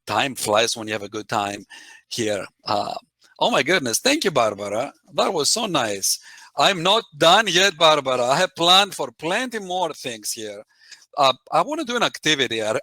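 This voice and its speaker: Guy doing an online keynote, kinda high bright voice, dry close sound, keeps saying "right?". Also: no echo. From Greece. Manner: earnest and animated, often tags "right?" and addresses listeners by name. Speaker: keynote speaker. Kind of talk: interactive online keynote talk.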